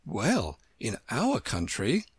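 A man voicing a curious, polite and eager young storybook girl in a light, high voice with a wondering manner. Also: huge pitch swings, takes it slowly, slightly rough, no echo, exaggerated voice. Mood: polite, reluctant. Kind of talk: storybook character voice.

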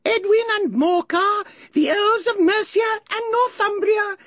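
Squeaky, pompous little mouse. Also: male voice, measured pace, fairly bright tone, no echo, dull audio. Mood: pompous, commanding. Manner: pompous, prim, drily lecturing. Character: self-important, easily offended little mouse lecturer.

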